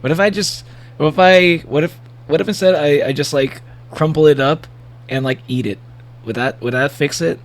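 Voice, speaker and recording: A man with a gravelly voice giving a casual livestream reaction, rambling and joking playfully as he comments and reads bits aloud. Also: a little high, moderate pace. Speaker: streamer reacting to horror videos.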